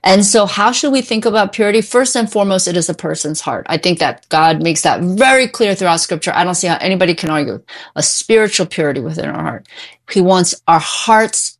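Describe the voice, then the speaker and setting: Female therapist guest, middle-aged, lowish pitch, insistent and opinionated, stressing points by repetition. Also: animated, a little fast. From born in Korea, immigrated at age five. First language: English. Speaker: guest, mental health therapist. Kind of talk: opinionated conversational interview answers.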